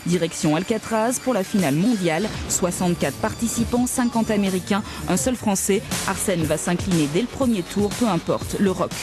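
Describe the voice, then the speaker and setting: A woman's voice: mid-range voice, normal speed, few pauses. Clipped woman reporter over music. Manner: clipped, punchy narration. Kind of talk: scripted news voice-over.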